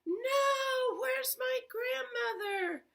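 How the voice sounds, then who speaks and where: A woman voicing a timid young girl in a storybook tale, wide-eyed, slow and breathy. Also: very high-pitched, sing-song, bright, forceful tone, pitched above the performer's own voice, full character voice. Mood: frightened.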